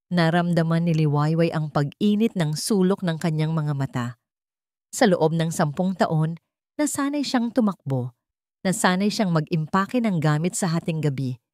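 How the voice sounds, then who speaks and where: A woman reads a dramatic love story as its narrator. Her voice is low and soft-edged, with widely moving intonation, in a very dry recording. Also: no room sound.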